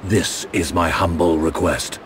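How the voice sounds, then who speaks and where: A man playing a solemn, elderly emperor and father in a video game, speaking in a formal, measured way. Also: deep voice, fairly even intonation, background noise. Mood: solemn, gentle. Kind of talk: dramatic video game cutscene acting.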